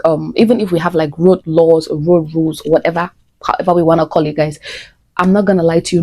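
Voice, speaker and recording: A woman's voice: fairly low pitch, warm tone. A woman in her twenties from Cameroon, talking casually to her vlog viewers and often calling them "guys". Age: 20s. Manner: chatty, keeps saying "guys" and "like". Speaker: vlogger.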